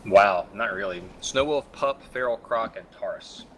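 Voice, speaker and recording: A man's voice: lowish voice, a little slow, fairly bright tone, slight background noise. A man chatting casually while playing an online game with friends. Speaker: fellow player in a group game session. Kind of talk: casual gaming chat.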